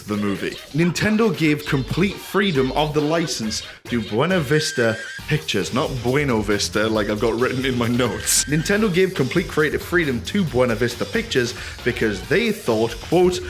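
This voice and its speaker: A man's voice: mid-range voice, sing-song, moderate pace, hardly any pauses. A man hosting a comic scripted video essay in a theatrical, sweary detective style. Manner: theatrical, sweary, swings into exasperated yelling. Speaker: host of a video essay show. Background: music bed.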